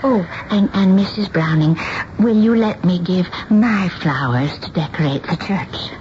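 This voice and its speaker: An actress playing a fussy, doting older seamstress in a radio drama, halting and repeating her words. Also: fairly low pitch, darkish tone, lightly acted. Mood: dreamy, pleading. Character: fluttery, eccentric, doting older spinster dressmaker. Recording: dull, slightly noisy 1970s radio recording.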